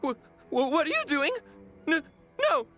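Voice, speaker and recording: A childlike tanuki yokai, timid and stammering. The pitch is high and leaps about wildly, with slow, fearful phrasing over game music. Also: female voice, very animated, a bit bright, full character voice. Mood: frightened. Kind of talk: video game voice acting.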